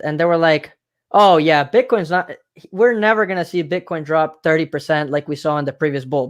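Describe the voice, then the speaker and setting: A man from Mexico hosting a podcast interview, talking casually and repeating himself for emphasis. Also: fairly high pitch. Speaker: podcast host.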